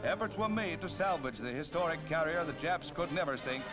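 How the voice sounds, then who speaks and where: High-pitched American 1940s newsreel man, dramatic. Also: animated, brisk, music in the background. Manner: dramatic, declamatory. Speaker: newsreel narrator. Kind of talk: 1940s newsreel narration, scripted. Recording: dull, band-limited 1940s newsreel soundtrack.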